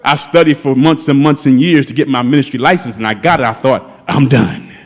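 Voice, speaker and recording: A man's voice: medium pitch, fairly quick. A man in his fifties preaching a sermon as pastor, calling out to his congregation with fervor. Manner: impassioned preaching, calls out to the congregation. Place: church sanctuary. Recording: long hall reverb in a church.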